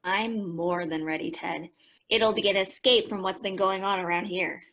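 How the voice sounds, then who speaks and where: A woman's voice: mid-range voice, steady tone, moderate pace, fairly bright tone, close to an everyday voice, poor audio. A woman acting a frank, bookish tomboy teenager in a radio play, direct and matter-of-fact. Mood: casual.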